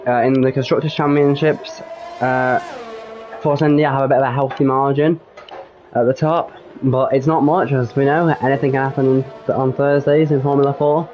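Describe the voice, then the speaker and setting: A man giving solo live commentary on an online racing league, slightly croaky from a cold, hesitant with frequent ums and self-corrections. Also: medium pitch, flat delivery, moderate pace, darkish tone, muffled recording, soft background music.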